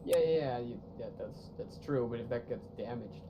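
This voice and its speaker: A man's voice: mid-range voice, normal speed. Laughing young man, noisy mic. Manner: animated, laughs often, playful sarcasm. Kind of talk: casual chat while gaming. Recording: noisy stream mic audio.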